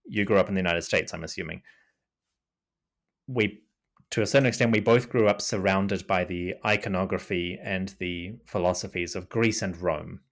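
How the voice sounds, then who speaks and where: Kiwi guy, really low voice, thinking out loud on a podcast call, lots of restarts. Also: normal speed, vocal fry. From New Zealand. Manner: thoughtful, restarts often, lots of "you know". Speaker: podcast guest, Egyptology podcaster. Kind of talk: casual interview talk. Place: remote call.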